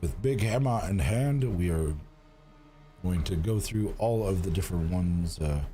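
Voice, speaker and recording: A man's voice: low-pitched, unhurried, mellow, faint music behind. A man streaming a game and chatting to viewers, rambling with lots of fillers and restarts while getting over a cold. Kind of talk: casual gaming livestream chat.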